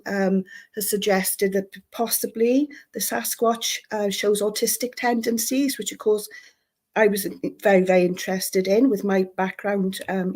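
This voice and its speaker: A woman's voice: mid-range voice, a little mellow. A Welsh woman in her fifties, a psychologist guest on a live talk show, speaking thoughtfully with many ums and restarts. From Wales. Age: 50s.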